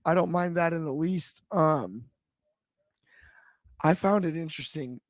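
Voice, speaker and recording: Higher-voiced man in wrestling talk. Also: unhurried, no echo, studio quality. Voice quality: slightly raspy. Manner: thoughtful, frequent restarts and fillers. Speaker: wrestling podcast panelist. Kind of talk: casual discussion.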